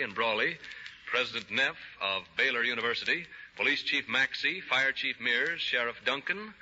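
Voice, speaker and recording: An American man reads scripted announcements in formal 1930s radio style. His medium-pitched voice is very bright and forceful. The old recording sounds dull and limited. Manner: formal, measured list reading.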